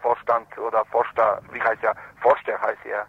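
Male activist phoning in to radio, non-native, mid-pitched and husky, gropes for words in broken grammar, muffled phone sound. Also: monotone, normal speed, no echo. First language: not German. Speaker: activist spokesman for Roma protesters, radio phone-in guest. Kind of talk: live phone interview, spontaneous report and appeal.

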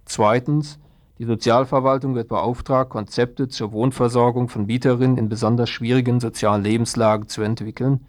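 A man's voice: medium pitch, fairly even intonation, normal speed, darkish tone. A man working as a radio news presenter, reading a scripted report.

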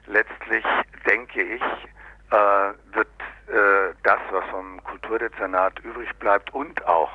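Guy ranting about local politics, rough husky voice, very dry sound, kinda dull lo-fi radio recording. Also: on the low side, no echo. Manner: sardonic, colloquial, often tags statements with "ne?". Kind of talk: unscripted critical political discussion.

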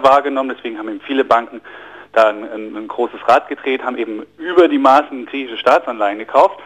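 A man's voice: medium pitch, muffled recording. A man with a German accent, a financial journalist giving a radio interview, explaining economics fluently but with many fillers and repeated words. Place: radio studio.

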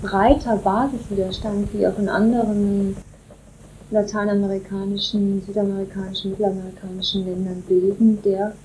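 Woman, mellow tone, measured radio interviewer, faint hiss. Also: medium pitch, poor audio.

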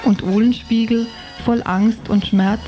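Dark, mellow woman reading literature aloud. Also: on the high side, steady tone, measured pace, faint music behind, low-quality recording. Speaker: reader of book excerpts.

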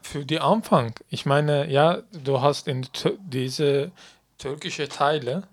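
A man's voice: a little high, takes it slowly. A man interviewing a singer, asking short, simple questions.

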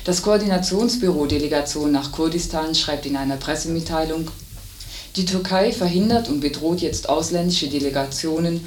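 A news reader delivering scripted radio news. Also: mid-range voice. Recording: roomy, distant, hissy radio recording.

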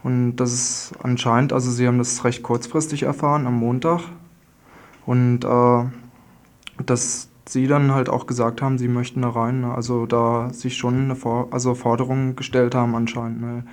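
A male reporter talking off the cuff on the radio. His medium-pitched voice stays very even as he halts, restarts and tacks short checking tags onto his phrases. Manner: halting, tags sentences with "ne". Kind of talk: informal spoken eyewitness report. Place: radio studio.

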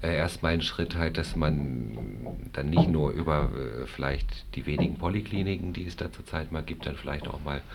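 A man speaking as a physician on a discussion panel, hesitant and measured as he makes his argument. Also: very deep voice, vocal fry. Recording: faint background noise.

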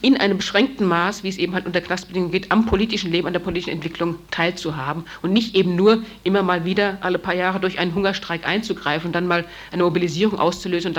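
A woman's voice: fairly low pitch, steady tone, fast, few pauses. A woman giving a spontaneous talk at an event, searching for words and restarting sentences often. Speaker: speaker at a political event. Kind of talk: spontaneous lecture. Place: event hall.

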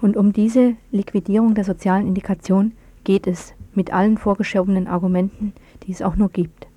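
A woman's voice: medium pitch, little pitch movement, fairly quick, dark tone. A woman presenting on the radio, reading a scripted news report.

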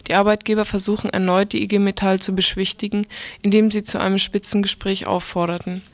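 A woman's voice: mid-range voice, flat delivery, quick pace, no room sound. A woman presenting radio news, reading from a script.

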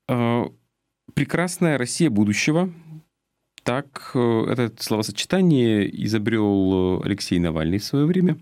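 A man from Russia delivering political commentary in an earnest, explanatory way, with a halting delivery and frequent pauses. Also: lowish voice, darkish tone. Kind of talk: spoken political commentary, semi-prepared talk.

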